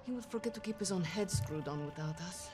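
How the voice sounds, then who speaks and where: An actress playing a wry, defiant young Soviet nurse with a Russian accent and a dry, teasing manner. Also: female voice, on the low side, expressive, fairly quick, lightly acted. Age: young adult. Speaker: actor in a video game. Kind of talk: cinematic game dialogue. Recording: music behind the dialogue.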